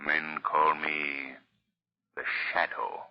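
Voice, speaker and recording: An actor playing an eerie, commanding invisible crime fighter in 1940s radio mystery style. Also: male voice, a bass voice, monotone, slow pace. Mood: eerie, ominous. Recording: dull vintage radio recording.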